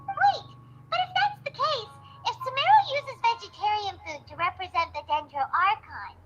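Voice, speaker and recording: A woman voicing a small, cheeky childlike fairy companion with a squeaky voice in a video game. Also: highs cut off, exaggerated voice. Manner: exclaiming, speaks of herself in third person.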